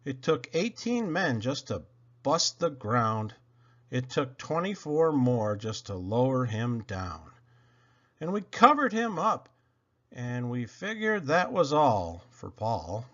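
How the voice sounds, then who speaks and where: Guy reading kids poems out loud, super expressive and slow, kinda muffled recording. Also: medium pitch. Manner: theatrical, expressive, dramatic pauses. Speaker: reader of children's poetry. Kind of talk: animated storybook read-aloud.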